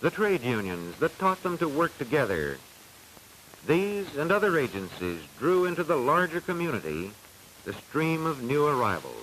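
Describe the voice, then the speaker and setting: An American man reading scripted narration for a 1940s educational film in a formal, measured, declamatory way, on a hissy old soundtrack. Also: a little high, big pitch swings, a bit bright, rough recording.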